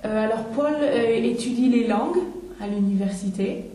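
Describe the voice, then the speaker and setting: A young woman talks through a set of photos in relaxed conversation practice. She hesitates often and fills pauses as she goes. Her voice is mellow, in an echoey room. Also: fairly high pitch. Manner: hesitant, many fillers. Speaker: interviewer describing photos.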